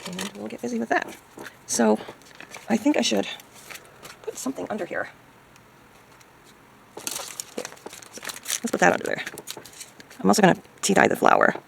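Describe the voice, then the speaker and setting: A woman chatting casually as she crafts, thinking aloud hesitantly in a hoarse, gravelly voice. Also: medium pitch, normal speed, pauses often, slight background noise, poor audio. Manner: hesitant, musing to self. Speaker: craft video maker.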